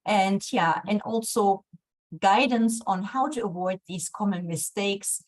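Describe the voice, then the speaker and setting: A woman's voice: mid-range voice, a little slow, dry recording. Hesitant woman introducing a speaker. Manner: hesitant, with fillers and restarts. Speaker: webinar moderator.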